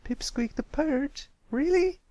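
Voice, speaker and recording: A man's voice: extremely high pitch, a little slow, mellow, no echo, pitched above the performer's own voice. A man voicing a young colt pony in a storybook reading, wide-eyed, eager and a bit nervous. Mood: amazed.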